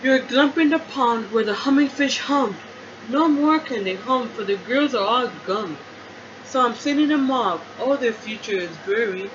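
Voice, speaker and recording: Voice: medium pitch, animated, unhurried, muffled recording, noisy background, pitched above the performer's own voice, natural voice. A reader voicing a cranky old male forest creature from a children's storybook, querulous and complaining in an indignant, plaintive way. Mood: snappish, scolding. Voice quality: querulous, croaky. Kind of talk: children's storybook read-aloud.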